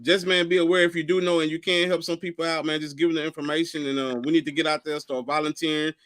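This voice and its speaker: A man's voice: fairly high pitch, level tone, fast talker, fairly bright tone, no room sound, clean studio sound. A man on a casual podcast, loose and joking, with frequent "straight up, man" and "man.". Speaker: podcast co-host.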